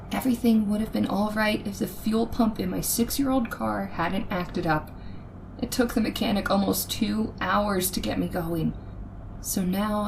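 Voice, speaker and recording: A woman's voice: mid-range voice, vocal fry, a little mellow, slight background noise, close to an everyday voice, low-quality recording. A woman playing a nervous, frightened lone traveler in an old-time radio suspense drama, with a shaky, anxious and hesitant manner. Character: nervous red-haired woman driving alone at night, frightened. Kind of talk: old-time radio suspense acting, first-person narration.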